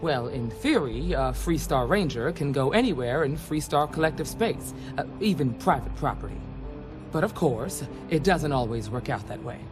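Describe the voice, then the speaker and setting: A woman's voice: low voice, animated, normal speed. A woman playing an earnest, folksy female ranger recruiter in a video game. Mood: serious, measured. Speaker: recruiting officer. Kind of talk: video game character dialogue. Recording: game audio with loud music bed. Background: music.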